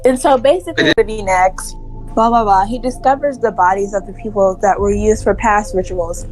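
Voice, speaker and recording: A young woman casually retelling a movie to friends in a rambling, animated way, acting out bits of dialogue and swearing. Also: mid-range voice, moderate pace, faint music behind, rough recording. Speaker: storyteller.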